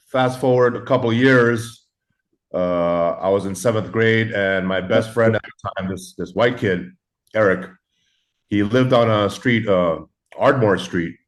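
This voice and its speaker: A man's voice: low voice, measured pace. A man in his forties, raised in Los Angeles, telling his story as a guest in a laid-back way, full of fillers and swearing. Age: 40s. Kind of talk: casual storytelling.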